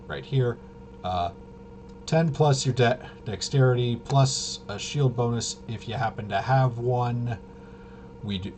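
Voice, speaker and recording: A man's voice: mid-range voice, a little mellow, a little hiss or hum. A man talking casually and thinking aloud through a game walkthrough, rambling and muttering to himself with playful little interjections. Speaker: host of a tabletop RPG channel.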